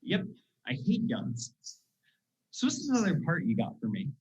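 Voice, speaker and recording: A teenage performer in a school comedy play, acting a manic, pushy aspiring actor who poses as a crazed gunman, with theatrical, excitable delivery. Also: mid-range voice, normal speed. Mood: sheepish. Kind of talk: school one-act comedy stage acting. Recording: muffled, dull school play recording.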